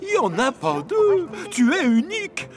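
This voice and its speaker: A man's voice: extremely high pitch, huge pitch swings, moderate pace, over music, full character voice. An actor voicing a blustery, pompous, fatherly railway controller in a children's cartoon dub. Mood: exasperated, encouraging. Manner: pompous, exclamatory, grumbling. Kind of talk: animated children's series dub.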